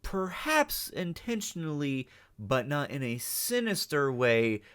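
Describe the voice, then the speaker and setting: A man's voice: a little high, very animated, takes it slowly, fairly bright tone, clear, resonant tone. A man hosting a reaction video, giving off-the-cuff analytical commentary with emphatic check-ins.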